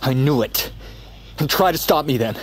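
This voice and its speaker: An actor playing a gruff, protective young American man, troubled and sardonic, in a naturalistic audio drama. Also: medium pitch, animated, normal speed, lightly acted. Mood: angry, stubborn. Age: young adult.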